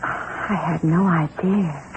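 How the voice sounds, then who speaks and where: An actress playing a timid, fearful, placating wife who speaks in hesitant, faltering questions, in 1940s radio drama style. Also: female voice, low voice, steady tone, slow pace. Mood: uneasy, placating. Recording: tinny, noisy 1940s radio recording.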